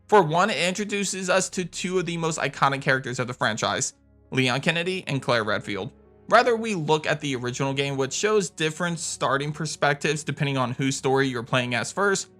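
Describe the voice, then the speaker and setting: Male countdown host for gaming videos: forceful, bright delivery, enthusiastic, music underneath. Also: a little high. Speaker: video game channel host. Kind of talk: scripted countdown commentary. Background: background music.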